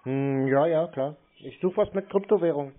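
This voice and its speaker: A man's voice: fairly high pitch, moderate pace. A man in a casual phone conversation, sounding hesitant, noncommittal and skeptical as a customer. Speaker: scambaiter posing as a customer. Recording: noisy narrowband phone call.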